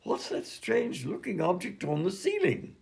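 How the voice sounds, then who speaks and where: A dotty inventor doctor in a radio comedy. His voice is fairly high and swings widely in pitch, with a creaky edge, and he rambles on politely, untroubled by anything. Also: huge pitch swings, normal speed, darkish tone, heavily acted. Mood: breezy, fussy. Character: eccentric, absent-minded male mad doctor and inventor, breezily unconcerned. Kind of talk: comedy radio-play acting.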